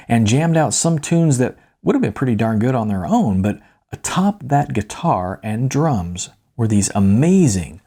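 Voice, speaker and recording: A man narrating a first-person story in a wry, colloquial, emphatic storytelling manner, with a slightly husky voice. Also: fairly low pitch, warm tone. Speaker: author reading own novella.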